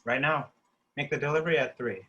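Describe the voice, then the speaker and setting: Man playing a bright-voiced, pushy office boss. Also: mid-range voice, quick pace, dull audio, natural voice. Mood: pleased, brisk. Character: brisk, pushy thirty-three-year-old male office boss. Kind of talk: screenplay table read.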